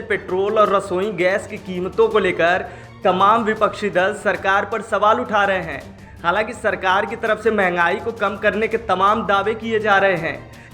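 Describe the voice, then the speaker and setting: A man's voice: high-pitched, pushed and bright. A man reading scripted news narration as a news presenter. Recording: music behind the voice. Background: background music.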